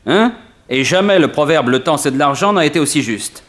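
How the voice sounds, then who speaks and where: An actor playing a suave, mocking gentleman thief who turns bullying, in a theatrical 1960s French radio serial style. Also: on the high side, expressive, fairly quick. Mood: menacing, insistent.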